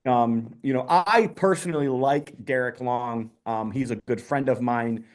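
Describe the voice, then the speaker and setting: A man's voice: medium pitch, a little slow. A man hosting a casual online community call, upbeat, with many ums and restarts.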